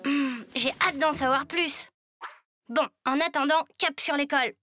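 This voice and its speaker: A woman's voice: high-pitched, a little slow, sharp tone, soft background music. A woman voicing a plucky, easygoing young schoolboy hero in a cartoon, often bemused. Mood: curious, upbeat. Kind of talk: cartoon dub.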